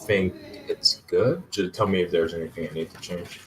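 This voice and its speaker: A man's voice: low-pitched, steady tone, moderate pace. A man talking casually as he takes part in a tabletop game stream. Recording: noisy microphone with room sound.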